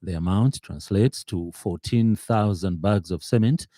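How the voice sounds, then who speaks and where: A man's voice: fairly low pitch, a little slow, mellow, no room sound. A man with a Zambian accent reading a scripted radio news bulletin as the newsreader in a studio.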